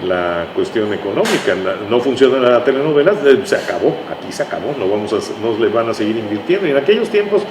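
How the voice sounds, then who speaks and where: A man's voice: mid-range voice, sing-song, quick pace, hardly any pauses. Older Mexican man in an echoey, noisy room. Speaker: interview guest, writer. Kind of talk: conversational reminiscing. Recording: echoey room with clear background noise.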